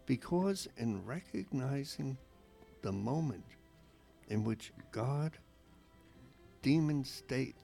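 Slow, gravelly man reading a reflection. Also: medium pitch, dark tone. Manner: measured, earnest. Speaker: radio show co-host.

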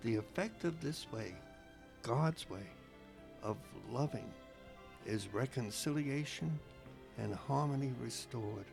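Slow, gravelly man reading a reflection. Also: medium pitch, over music. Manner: measured, earnest. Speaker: radio show co-host.

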